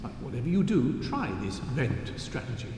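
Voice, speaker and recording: A man playing a formal, measured news announcer giving cryptic, eerie advice in a horror game. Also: medium pitch, sing-song, darkish tone, natural voice. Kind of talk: scripted broadcast announcement. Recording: echoey hall, long reverb, faint noise.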